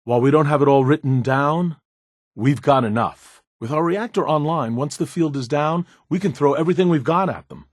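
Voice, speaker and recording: A man's voice: on the high side, moderate pace, dry recording, studio quality, lightly acted. A man voicing an earnest, bookish scientist in a video game, speaking in a polite, conversational and slightly hesitant way. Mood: confident.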